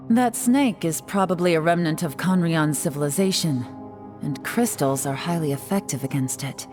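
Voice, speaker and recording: A cool young woman investigator from a video game, played by an actress, speaks low with measured composure. There is a teasing, intrigued edge to her, and music plays behind the voice. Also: darkish tone, close to an everyday voice. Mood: candid.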